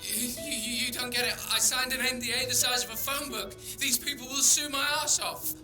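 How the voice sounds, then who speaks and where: Frantic American captive chemist, high-pitched, stammering and pleading, in an echoey room. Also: male voice, steady tone, moderate pace, over music. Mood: panicked.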